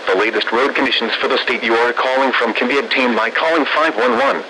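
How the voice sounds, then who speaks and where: A synthesized male voice reading scripted weather bulletins in a measured, impersonal, formulaic way over weather radio. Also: mid-range voice, animated, gravelly, sharp tone. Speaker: automated weather radio announcer. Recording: noisy weather radio broadcast.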